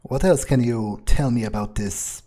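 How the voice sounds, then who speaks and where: A man's voice: mid-range voice, unhurried, mellow, lightly acted. A man voicing a cynical, foul-mouthed vampire in an improvised in-character game playthrough, muttering sardonically. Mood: skeptical. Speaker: role-playing game player.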